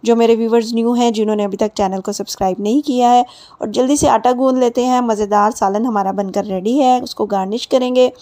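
Mellow, higher-pitched woman explaining recipes. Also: steady tone, fairly quick. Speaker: home cooking channel host. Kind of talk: casual recipe voice-over.

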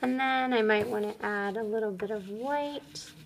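A woman's voice: mid-range voice, moderate pace, a little hiss or hum. A woman, an elementary art teacher, demonstrating a craft step by step in a simple, upbeat way for young children.

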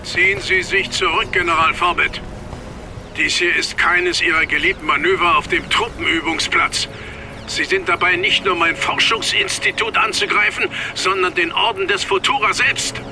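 An actor playing a defiant elder professor who speaks in a stern, commanding way. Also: male voice, high-pitched, fairly even intonation, normal speed, noisy background. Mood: stern defiance. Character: defiant elder professor, male, head of an order.

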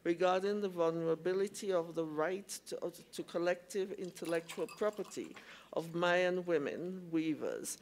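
Slow, gravelly middle-aged woman chairing. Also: fairly low pitch, darkish tone, a little hiss or hum. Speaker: commissioner chairing a public hearing. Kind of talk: formal procedural remarks, halting. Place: conference hall hearing room. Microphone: gooseneck table microphone.

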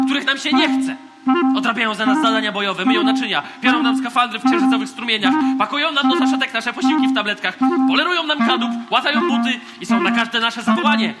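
A man acting a bossy, pompous commander of a space fleet in a theatrical audio drama. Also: very high voice. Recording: loud music under the voice.

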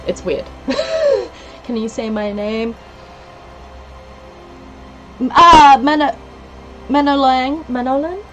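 A woman's voice: high-pitched, big pitch swings, takes it slowly, long pauses, poor audio. A woman in her thirties with a New Zealand accent, talking casually into a headset mic in a small room. She is chatty and giggly and reels off place names from her viewers, with faint game music underneath. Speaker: livestreamer. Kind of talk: casual game-stream chat, reading out viewer comments.

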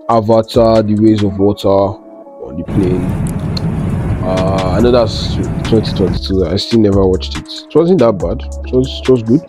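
A young man with a Nigerian accent chatting casually to camera as a travel vlogger, telling stories with Pidgin slang and quoting himself. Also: on the low side. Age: young adult. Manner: animated storytelling with Pidgin slang and self-quoting. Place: living room, indoors.

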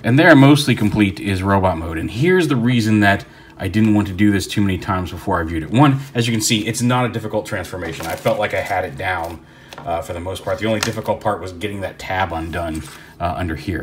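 A man's voice: deep voice, fast talker, a little hiss or hum. A man talking casually through a hands-on toy review, rambling with fillers and restarts, recorded indoors at a tabletop.

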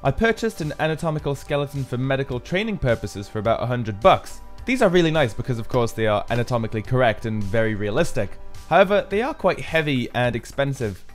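A man's voice: mid-range voice, sing-song, fast talker, soft background music. A man giving an upbeat, explanatory voice-over for a build tutorial, as the maker himself. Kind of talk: scripted tutorial narration.

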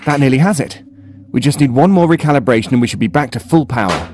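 A man playing an urgent technician in an audio drama, talking in a hurried way. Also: mid-range voice, expressive, darkish tone, a little hiss or hum, natural voice. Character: urgent technician working on a failing machine.

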